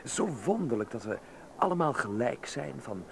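Radio play lead: sensitive young fellow, lively pitch, gravelly edge, hesitant and courteous, noisy recording. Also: male voice. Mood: reflective, earnest. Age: young adult. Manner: halting, stammering, polite. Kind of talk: radio drama acting.

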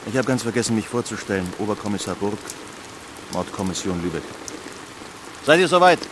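An actor playing a brisk, matter-of-fact male homicide detective with a dry, businesslike manner in a radio play. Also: lowish voice, sing-song. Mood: brisk, weary. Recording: noisy scene with rain.

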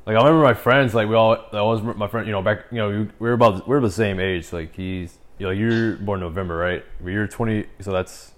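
A man's voice: lowish voice, fast talker, few pauses. A man in his twenties from the United States, chatting casually in a laid-back, slangy way. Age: 20s. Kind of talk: casual chat.

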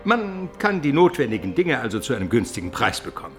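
An actor playing a learned, inquisitive Byzantine gentleman in a German radio play, speaking in a measured and articulate way. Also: mid-range voice, big pitch swings, soft background music, close to an everyday voice.

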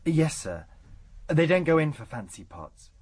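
A man's voice: fairly high pitch, big pitch swings, unhurried, a little mellow, breathy edge, exaggerated voice. An actor playing a dutiful, plain-spoken police sergeant in a radio detective drama, reporting respectfully to his superior.

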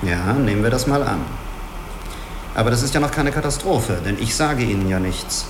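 An actor in a radio drama playing a skeptical, probing spaceship commander in a terse, controlled manner. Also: male voice, mid-range voice, expressive, moderate pace, noisy background, lightly acted. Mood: cool, questioning. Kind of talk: German radio play dialogue and log.

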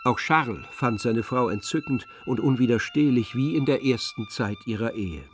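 A man reading scripted literary narration for a radio play in a measured storytelling manner. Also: medium pitch, wildly swooping pitch, over music.

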